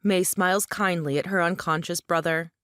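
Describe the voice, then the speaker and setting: A woman's voice: lowish voice, fairly even intonation, normal speed, fairly bright tone, clean tone, no echo, clean studio sound. A woman narrator reading scripted stage directions for a play.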